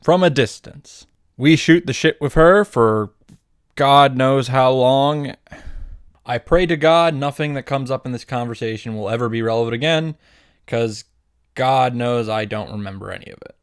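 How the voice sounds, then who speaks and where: Brief: male host for comic story recaps, sarcastic, irreverent, happy to swear, clipped punchy lines, medium pitch. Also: normal speed, clear, resonant tone. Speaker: host of a visual novel summary series. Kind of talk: comedic recap narration.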